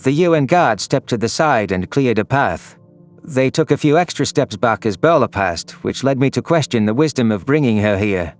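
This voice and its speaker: A man's voice: mid-range voice, expressive, moderate pace. A man reading scripted, dramatic narration for a science fiction audio story. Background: background music.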